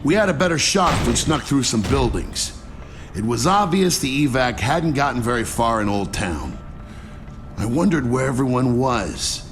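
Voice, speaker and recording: An actor voicing a wry, matter-of-fact army lieutenant narrating events in a video game. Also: male voice, medium pitch, animated, moderate pace, faint music behind, lightly acted. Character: cocky, wry male army lieutenant recounting a battle.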